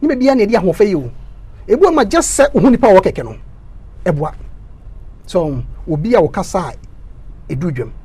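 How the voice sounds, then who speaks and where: A man with a Ghanaian accent, a council candidate giving an impassioned interview, stammering through repeats and rising into loud preaching bursts. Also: sits high, big pitch swings, a little fast, pauses often, husky.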